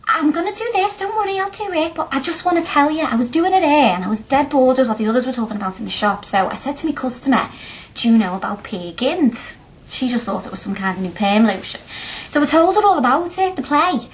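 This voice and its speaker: An actress playing a chatty, blunt young working-class student in a radio play, speaking colloquially and earnestly. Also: female voice, high-pitched, lively intonation, fast talker, a little hiss or hum.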